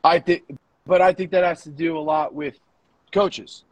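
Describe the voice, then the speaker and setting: Husky-voiced man co-hosting a casual podcast. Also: fairly high pitch, fairly even intonation, moderate pace, dry recording. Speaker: podcast co-host giving coaching opinions. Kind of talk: casual discussion.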